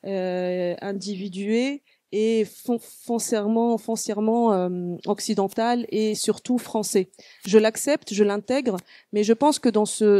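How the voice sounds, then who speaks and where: A woman with a mellow, dark voice speaks slowly and thoughtfully, often pausing and restarting. She is an Arabic speaker talking as a guest artist. The recording is close and dry. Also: mid-range voice, no room sound. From Tunisia. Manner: halting, full of hesitations and restarts. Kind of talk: reflective panel talk.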